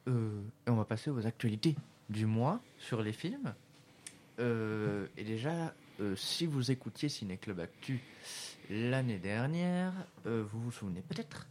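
A man hosting a casual radio show about cinema, relaxed and talking straight to the listeners. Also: mid-range voice.

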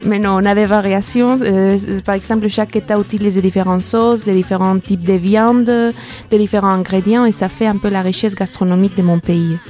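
A woman with a Mexican Spanish accent chats on a campus radio podcast, hesitating often and breaking into laughter. Her voice is mellow and warm at a middle pitch. Faint music sits under the talk. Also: steady tone, fairly quick. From Mexico City. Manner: hesitant, many fillers and restarts, laughs often. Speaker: podcast co-host. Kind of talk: casual radio podcast chat.

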